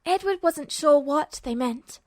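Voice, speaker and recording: A woman's voice: high-pitched, lively intonation, unhurried, no room sound, studio quality. A woman reading scripted fiction aloud as a story narrator.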